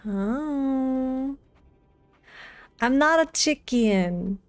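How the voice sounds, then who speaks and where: A woman's voice: high voice, lively intonation, drawn-out words, clear, resonant tone, no room sound. A woman live streamer chatting casually and in a friendly way with her viewers while gaming. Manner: friendly, greets viewers by name. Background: game music.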